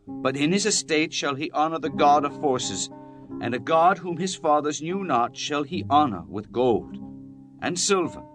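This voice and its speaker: Dramatic man reading scripture over music. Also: a little high. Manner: solemn, declamatory. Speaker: Bible narrator.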